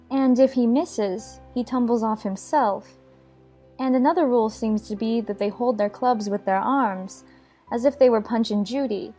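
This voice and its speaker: A woman voicing a curious, polite young girl in a storybook audiobook, musing and gently plaintive. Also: on the high side.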